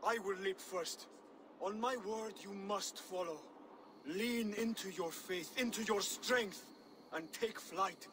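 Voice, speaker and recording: A young male assassin mentor in a video game cutscene. His voice sits high for a man, earnest and measured, with formal, instructive phrasing. Clear outdoor noise sits behind the words. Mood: hushed resolve.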